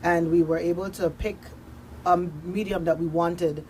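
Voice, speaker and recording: A woman with a lowish voice explains her work as an advocate in an interview. She is emphatic and builds her points in repeated phrases. Faint noise sits behind her. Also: fairly even intonation, a little slow, mellow, low-quality recording. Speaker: victim advocate, interview guest. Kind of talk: explanatory radio interview talk.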